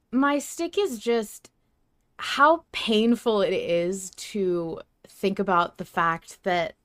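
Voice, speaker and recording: A woman's voice: mid-range voice, a bit bright, clear, resonant tone, dry recording, studio quality. A woman co-hosting a podcast in a casual, emphatic fan discussion, swearing freely. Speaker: podcast co-host.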